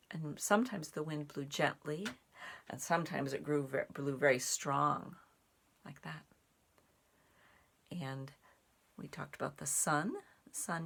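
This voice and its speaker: A woman with a low, noticeably creaky voice works as a storyteller and teacher. She explains things simply, in the manner of someone talking to young children. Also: a bit bright. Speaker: storyteller and sign language teacher. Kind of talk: picture-book read-aloud and casual sign language teaching.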